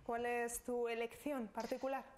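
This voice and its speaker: A woman from Spain hosting a TV magazine, leading the interview and reading links to the breaks in a brisk, upbeat manner. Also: a little high, little pitch movement, a little mellow, a little hiss or hum. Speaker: TV magazine host.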